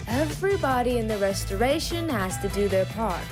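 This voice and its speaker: A woman's voice: on the high side, expressive, fairly quick, music in the background, lightly acted. A woman voicing a responsible, businesslike female beetle who leads an organisation, in a cartoon comic dub. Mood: firm.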